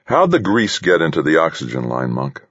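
A man's voice: very deep voice, wildly swooping pitch, moderate pace, husky, dry recording, muffled recording, full character voice. A man voicing a smaller, brainy man in an audiobook, earnest and placating yet stubbornly insistent. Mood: accusing. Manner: earnest, soft-spoken but insistent. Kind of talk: audiobook character voice.

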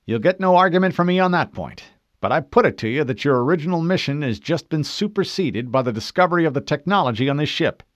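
A man's voice: a little high, animated, fast talker, dry recording, highs cut off, voice pushed up, close to an everyday voice. A man voicing an officious, assertive male navy lieutenant in an audiobook, speaking formally and insistently. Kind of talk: audiobook character voice.

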